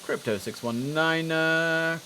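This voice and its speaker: Chatty man over clear background noise. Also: fairly high pitch, slow pace, sharp tone. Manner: chatty, informal, thinks aloud. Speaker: streamer playing an air traffic control simulator. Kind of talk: casual live gameplay commentary.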